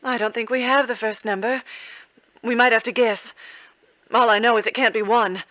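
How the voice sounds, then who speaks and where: A woman playing a composed, clever heroine trapped in a mystery, in measured 1940s radio drama style. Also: on the high side, fairly even intonation, a bit bright, no echo, close to an everyday voice, poor audio. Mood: puzzling, intent. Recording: clear music behind the voice.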